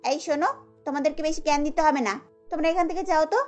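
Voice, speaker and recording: A woman's voice: high-pitched, steady tone, fast, darkish tone, lightly acted. A woman voicing a spoiled, condescending young city daughter-in-law who snaps and drops English words in a cartoon. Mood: scornful, irritated. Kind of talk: cartoon story dialogue. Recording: dull lo-fi audio with music bed.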